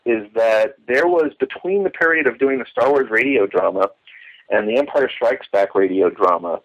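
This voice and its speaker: A man speaking as an interview guest, explaining at length in an earnest, wordy, emphatic way over a phone-like line. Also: medium pitch. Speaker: podcast guest, radio drama enthusiast. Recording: dull telephone-like line.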